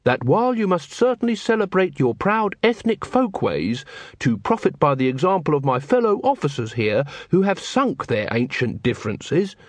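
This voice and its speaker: An earnest young watch corporal in a fantasy audiobook, played by a male narrator. He is formal and stern, giving orders in a raised, high-set voice with plenty of swing, recorded dry. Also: very animated, moderate pace, dry recording.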